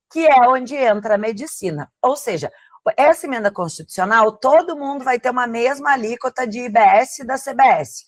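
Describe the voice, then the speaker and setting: A woman's voice: a little high, no room sound. A woman from southern Brazil with a gaúcho accent, presenting as a guest expert and explaining in a conversational way, often answering her own questions. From Rio Grande do Sul, southern Brazil. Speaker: tax lawyer and lecturer, guest speaker. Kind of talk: explanatory presentation to an online audience.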